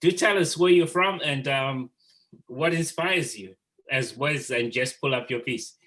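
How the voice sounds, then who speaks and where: A man's voice: medium pitch, a little slow, fairly bright tone, poor audio. A man hosting an informal group art discussion, speaking earnestly and affirmingly as he moderates. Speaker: host of an artist talk.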